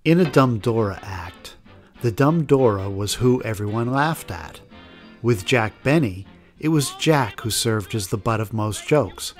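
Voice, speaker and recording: A man giving scripted documentary narration. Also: lowish voice.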